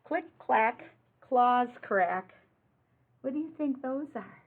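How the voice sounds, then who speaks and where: A woman's voice: fairly high pitch, expressive. A woman reading a picture book aloud to young children, explaining in simple words, asking questions and stressing rhyming words. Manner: simple child-directed talk with frequent questions; deliberate, emphatic delivery of rhyming words. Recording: muffled, phone-like narrow-band sound.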